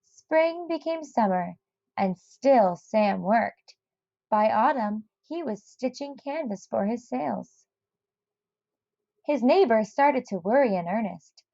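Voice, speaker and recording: Woman reading a kids book out loud, takes it slow, dry dull audio. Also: a little high, expressive, vocal fry, no echo. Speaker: storybook reader. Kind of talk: expressive children's read-aloud narration.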